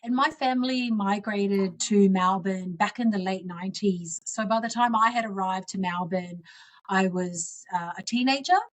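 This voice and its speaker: A woman in her forties who grew up in Samoa speaks as a political guest in a mid-range voice. She talks earnestly and reflectively, dwelling warmly on community values. Her pace is unhurried and conversational. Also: mid-range voice. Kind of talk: conversational interview answers.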